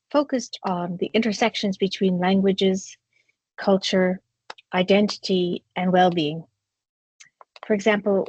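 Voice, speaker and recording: A woman's voice: medium pitch, dry recording. A woman from the United States, a psychology professor, introducing herself with frequent hesitant fillers. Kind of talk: self-introduction. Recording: dry, dull lo-fi audio.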